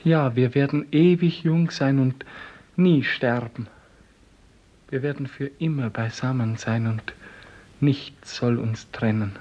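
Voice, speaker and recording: An actor playing a devoted, dreaming clerk in love, speaking solemn poetic lines in a 1950s German radio play. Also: male voice, mid-range voice, a little mellow. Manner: solemn, poetic, slow declamation. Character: devoted dreaming office clerk, tender and rapturous lover. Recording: dull 1950s radio recording.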